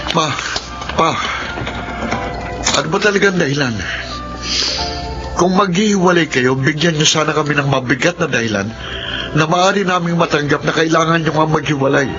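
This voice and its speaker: A man's voice: on the high side, normal speed, long pauses, natural voice. A man acting an upset young adult son who presses his parents for answers, urgent and pleading. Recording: dull lo-fi radio broadcast with music.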